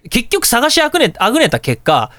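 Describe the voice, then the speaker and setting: A man hosting a radio show, telling anecdotes in a casual, chatty, animated way. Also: extremely high pitch, fairly quick, dry recording. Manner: animated, chatty storytelling with colloquial asides.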